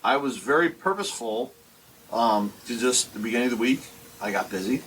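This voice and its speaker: Man in his forties, lively rising and falling voice, rambling casual chat, faint hum behind. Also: medium pitch, fairly quick, low-quality recording. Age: 40s. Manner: animated, rambling with restarts. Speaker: podcast co-host. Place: basement.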